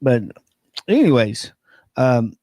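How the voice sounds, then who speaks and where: A man's voice: mid-range voice, very animated, slow pace, dark tone, dry recording. A man, co-hosting a casual wrestling talk show and telling a rambling story, fighting a lingering cold. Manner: rambling, many fillers, chuckles at his own story. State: has a lingering cold, keeps losing his voice. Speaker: co-host of a local wrestling and sports show.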